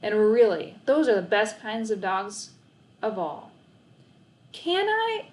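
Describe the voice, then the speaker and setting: A woman reads a children's story aloud in scripted, expressive narration. Her pitch sits in the middle range for a woman. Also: a little slow.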